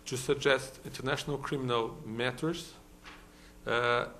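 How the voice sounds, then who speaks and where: A man from Brazil speaks English with a Brazilian Portuguese accent at a conference. His voice sits at a middling pitch, and he talks haltingly, full of "uh"s and restarts. A faint hiss runs under the recording. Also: steady tone, bright, forceful tone. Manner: hesitant, many fillers and restarts. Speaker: law professor, conference panelist. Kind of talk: conference talk. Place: conference hall.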